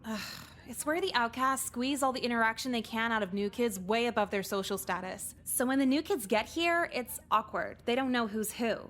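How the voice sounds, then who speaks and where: A woman voicing a jaded, sarcastic teenage girl in a visual novel game, deadpan and caustic. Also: on the high side, moderate pace, few pauses, natural voice. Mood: scornful. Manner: deadpan, caustic, foul-mouthed.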